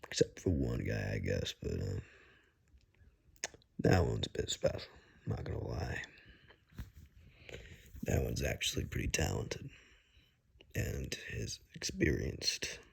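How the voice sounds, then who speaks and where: A man playing a wary, blunt woodsman guide in a hushed ASMR roleplay, speaking in a husky, halting, matter-of-fact near-whisper. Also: extremely low pitch, very animated, full character voice. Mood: grim, matter-of-fact. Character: wary, blunt male woodsman guide who knows monsters.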